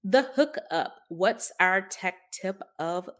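A woman's voice: lowish voice, lively intonation, slow pace, fairly bright tone. A woman co-hosting a podcast in casual conversation, speaking informally and stressing her points by repetition. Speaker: podcast co-host.

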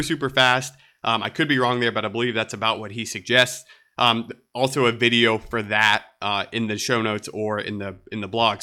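Stuffy-nosed guy hosting his podcast, chatty and upbeat, really bright sharp tone. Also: mid-range voice. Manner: upbeat and chatty, laughs lightly. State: nasal, congested. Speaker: podcast host. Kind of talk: solo podcast monologue.

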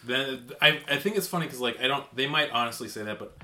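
A man's voice: medium pitch, quick pace, sharp tone. A man, chatting casually as a podcast host in a jokey, self-deprecating way. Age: late 30s. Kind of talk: casual chat and show intro.

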